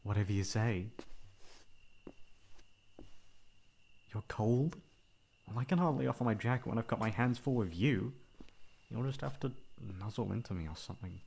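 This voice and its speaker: A young man playing a caring, mildly exasperated boyfriend in an ASMR roleplay, coaxing and teasing with breathy sighs. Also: on the low side, animated, fairly quick, a little mellow, full character voice.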